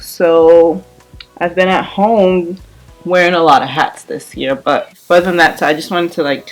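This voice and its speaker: Brief: twenties woman, deep for her sex, chatty, loose and repetitive, soft music underneath. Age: 20s. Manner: rambling, repeats herself, many fillers. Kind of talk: casual chat while doing her hair.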